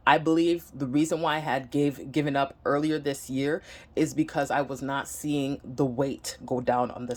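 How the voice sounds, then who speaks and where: Candid woman with a Nigerian accent. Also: deep voice. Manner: earnest, candid, hesitant. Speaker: vlogger. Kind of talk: casual personal update to camera. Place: home room.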